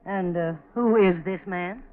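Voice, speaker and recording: A muffled, band-limited 1940s radio recording of a woman playing a tough farm aunt. She is brisk, blunt and stubborn, talking business with no nonsense in a period drama style. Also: mid-range voice, clear, resonant tone, lightly acted. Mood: brisk, firm.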